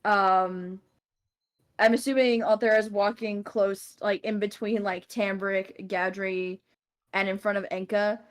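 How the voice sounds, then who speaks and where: A woman's voice: mid-range voice, flat delivery, measured pace. A woman chatting casually and improvising narration of her character as a tabletop game player.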